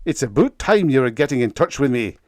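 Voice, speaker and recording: A man voicing a gruff, irritable ship's engineer who bellows in an old-fashioned dialect. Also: mid-range voice, very animated, brisk, a little mellow, dry recording, studio quality, voice pushed up, close to an everyday voice. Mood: angry. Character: gruff, irritable male chief engineer on a spaceship.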